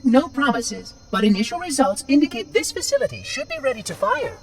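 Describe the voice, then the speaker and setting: High-pitched, prim robot monitor. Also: male voice, huge pitch swings, a little fast, recorded from a distance, full character voice. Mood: chirpy, pleased. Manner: prim, formal, officious. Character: fussy, cheerful robot monitor turned coldly possessive. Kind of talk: video game voice acting.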